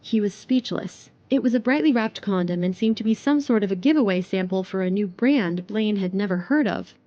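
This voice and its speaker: A woman's voice: medium pitch, animated, fairly quick, mellow, no echo, highs cut off. A woman narrating an audio drama from a script as its storyteller.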